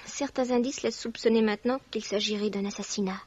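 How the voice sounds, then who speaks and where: An actress playing a polite, inquisitive young lady in a radio mystery, courteous and hurried. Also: mid-range voice, fairly even intonation, a little mellow, slightly rough, lightly acted. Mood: probing. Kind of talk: French radio mystery drama acting. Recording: dull vintage-style radio recording.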